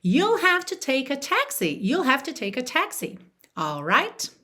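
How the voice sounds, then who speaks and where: A woman from Rio de Janeiro, teaching an online video lesson and talking straight to the viewer. She slows right down to model example phrases and repeat them. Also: high voice, huge pitch swings, pushed and bright. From Rio de Janeiro, Brazil. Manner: encouraging, speaks straight to the viewer. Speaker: language teacher.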